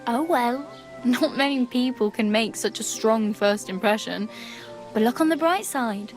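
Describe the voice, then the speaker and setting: A woman's voice: fairly high pitch, a little slow. A woman playing a plucky, wry young medieval beggar who wanders from place to place, in a folksy, teasing way for a video game. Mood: wry, upbeat. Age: young adult. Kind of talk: video game dialogue acting. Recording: background music under the dialogue.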